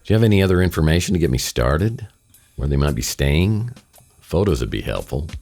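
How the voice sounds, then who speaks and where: An actor playing a wry, world-weary private detective in a hardboiled radio drama, narrating with deadpan, self-deprecating wit. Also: male voice, rumbling low, fairly quick, in a large hall, soft background music, exaggerated voice. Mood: dry, businesslike.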